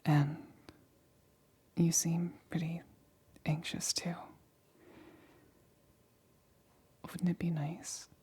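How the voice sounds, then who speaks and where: A woman playing an anxious, lonely female lab assistant in an ASMR roleplay, whispering softly and intimately with halting, hesitant stammers. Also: lowish voice, flat delivery, full character voice. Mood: lonely, clingy. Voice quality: breathy, whispered.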